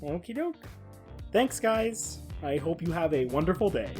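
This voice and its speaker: A man's voice: on the high side, sing-song, measured pace, dark tone, faint music behind. A man who hosts a podcast, speaking on his own. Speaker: podcast host. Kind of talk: dramatic reading of a science-fiction horror log; casual podcast sign-off.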